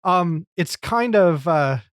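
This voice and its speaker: Guy co-hosting a podcast, slightly high voice, dry clean room sound. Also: lively intonation, slow, smooth, dry recording. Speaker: podcast host. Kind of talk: podcast discussion.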